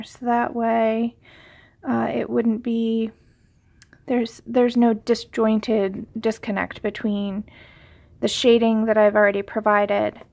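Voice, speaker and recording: High-voiced woman with a sniffly head cold. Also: vocal fry. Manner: chatty and rambling, many ums and restarts, drops to soft whispers at times. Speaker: coloring tutorial host. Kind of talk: casual real-time drawing tutorial.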